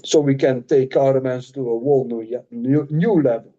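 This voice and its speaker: A Dutch-accented man co-hosts a relaxed podcast chat, halting and restarting his sentences as he goes. His voice is mellow and dark, and the recording is a little dull and muffled. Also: mid-range voice. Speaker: podcast co-host and author.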